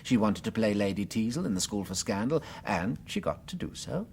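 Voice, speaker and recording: An English actor playing a gentle, unworldly but shrewd priest detective in a radio drama, hesitant and politely musing. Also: male voice, on the low side, very animated, brisk, gravelly, slight background noise. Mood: quietly insistent. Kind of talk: full-cast radio drama acting.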